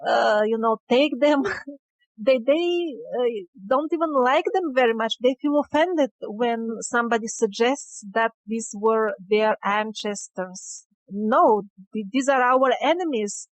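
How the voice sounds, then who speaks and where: A woman's voice: on the high side, slow, low-quality recording. A woman answering questions as an interview guest, conversational and sometimes searching for words.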